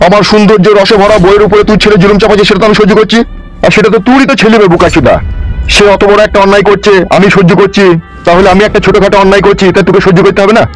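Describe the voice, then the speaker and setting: A man's voice: high voice, little pitch movement, racing, soft background music, close to an everyday voice, rough recording. A man voicing a domineering, crude, foul-mouthed corrupt politician boss in an amateur comedy cartoon. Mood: menacing.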